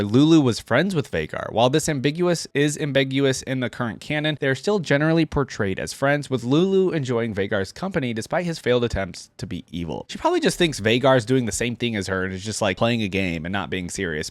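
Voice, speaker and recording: Man reacting casually, swinging pitch, dry sound. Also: no echo. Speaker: reaction video host. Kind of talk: casual commentary, thinking aloud while reading and reacting.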